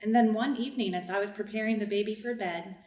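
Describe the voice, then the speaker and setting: A woman's voice: mid-range voice, fast talker, darkish tone, lightly acted. A woman playing a household servant who narrates a staged reader's theater drama in plain, observant scripted narration. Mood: reflective. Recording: distant, echoey, faint room noise.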